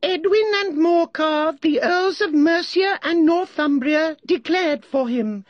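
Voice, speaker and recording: A man's voice: very high-pitched, takes it slowly, no echo, dull audio, voice pushed up. A man voicing a self-important, easily offended mouse who lectures in a prim, pompous way. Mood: prim, testy.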